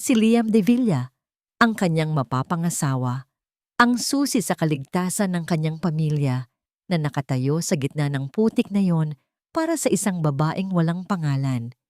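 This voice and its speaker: Low-pitched woman narrating a dramatic story. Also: lively intonation, normal speed, dry recording, studio quality.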